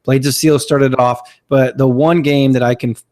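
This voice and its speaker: A man's voice: mid-range voice, steady tone, moderate pace, darkish tone. A man in his thirties from Florida, co-hosting a podcast and reminiscing in a chatty, casual way. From Florida, USA. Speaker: podcast co-host. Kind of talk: casual chat.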